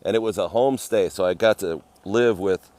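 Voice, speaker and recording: A man's voice: fairly low pitch, brisk, darkish tone, dry recording. A man livestreaming outdoors from a phone with an ear-worn mic, chatting casually, rambling, and greeting viewers by name. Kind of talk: casual live-stream chat. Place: outdoors at a garden cafe.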